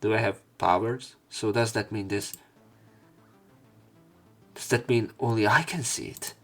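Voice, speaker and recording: A man with a lowish voice does a let's play of a visual novel. He reads the text aloud theatrically and breaks off for his own commentary. His delivery is expressive. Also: a little slow, soft background music. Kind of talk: dramatic read-aloud with commentary.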